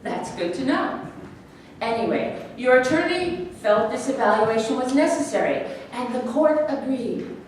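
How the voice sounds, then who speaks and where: An actress in a staged play reading, playing a composed doctor who questions a defendant in a measured, professional way to assess his sanity. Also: high voice, lively intonation. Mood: businesslike. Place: staged reading in an echoey room. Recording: echoey room, distant mic, faint noise.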